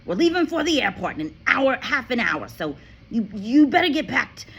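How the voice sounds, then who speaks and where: A woman's voice: fairly high pitch, big pitch swings, moderate pace, a bit bright, husky, voice pushed up, heavily acted. A woman voicing an impatient, bullying middle-aged father who snaps and barks orders. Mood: angry barking. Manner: barking, snapping orders.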